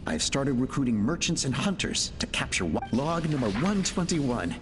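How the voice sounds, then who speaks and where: A man's voice: medium pitch, very animated, normal speed, close to an everyday voice. An actor playing an earnest, idealistic male inventor dictating research logs in a video game. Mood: businesslike. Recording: reverberant hall, music underneath.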